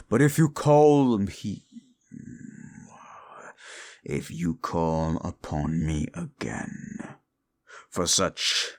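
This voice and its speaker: Man playing a demon of desire, low gravelly voice, slow drawl, contemptuous, theatrical. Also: full character voice. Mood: cold, mocking. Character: scornful proud male demon of desire. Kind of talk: audio roleplay drama.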